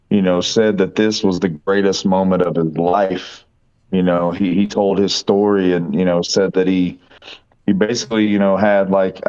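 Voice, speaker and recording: Deep, slightly hoarse convention organizer on a call. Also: male voice, darkish tone. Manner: earnest, repeats phrases, lists praise. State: slightly hoarse from talking all weekend. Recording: dull lo-fi call audio.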